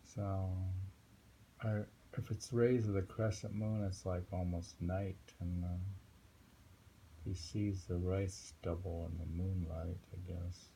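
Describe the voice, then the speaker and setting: A man with a low, creaky voice reads verse and muses on it informally. He speaks very slowly and haltingly, with pauses to think. Faint noise sits behind him. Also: flat delivery, dark tone, poor audio. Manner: halting, musing. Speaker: poetry reader and commentator. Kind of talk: reading poems aloud with informal commentary.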